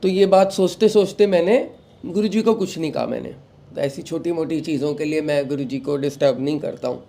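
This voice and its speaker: A young man, recovering from a sore throat, hosting a devotional talk and giving calm advice to followers while mixing in English phrases. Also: a little high, a little fast, creaky. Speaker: satsang host.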